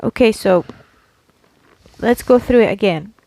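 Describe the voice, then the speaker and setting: A woman's voice: medium pitch, unhurried, creaky, warm tone, poor audio. A woman co-hosting a casual podcast, pushing back argumentatively with the odd mild swear word. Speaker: podcast co-host. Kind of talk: casual argumentative banter between co-hosts.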